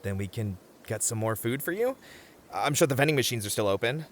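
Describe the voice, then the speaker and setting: Man playing an anxious, animated young camper. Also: medium pitch, brisk, slight background noise, close to an everyday voice. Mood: exasperated. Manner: hesitant, stammering, wry. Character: anxious, sensible young male camper, exasperated voice of reason. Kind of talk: ASMR audio roleplay comedy acting.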